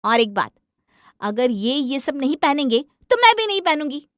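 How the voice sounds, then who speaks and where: A woman voicing an indignant, sharp-tongued young wife who insists on her way in a domestic cartoon story. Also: high voice, very animated, normal speed, no echo, studio quality, pitched above the performer's own voice. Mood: firm. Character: feisty, indignant young wife demanding equality.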